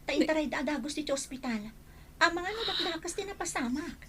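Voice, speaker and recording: A woman acting a dutiful, brisk hospital nurse in an Ilocano radio drama, with an Ilocano accent. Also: sits high, animated, slight background noise. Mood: businesslike.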